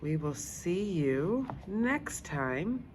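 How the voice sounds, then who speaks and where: Expressive, slow-paced woman reading aloud. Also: lowish voice. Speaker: read-aloud storyteller. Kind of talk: expressive children's book narration. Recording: faint background noise.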